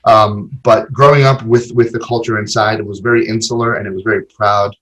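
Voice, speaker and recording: A podcast guest in his forties talks through his memories in a loose, conversational way, often doubling back on his own words. His voice sits low and has a breathy, husky edge. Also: steady tone, fairly quick, fairly bright tone. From Argentina. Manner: rambling, with many fillers and repeated words. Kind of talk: casual interview conversation.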